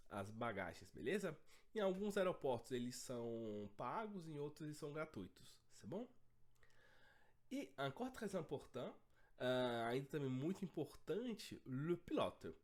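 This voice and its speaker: A man with a hoarse, cold-roughened voice teaches an online language lesson. His pitch swings widely as he talks, lively and animated. He keeps checking in with his students as he goes. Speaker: French teacher.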